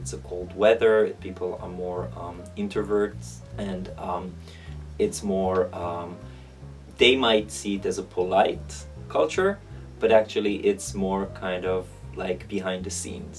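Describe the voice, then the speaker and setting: Low, gravelly man with an Israeli accent. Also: a little mellow, recorded from a distance. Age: 30s. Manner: halting, thinking aloud. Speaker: interviewee. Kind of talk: reflective interview answers.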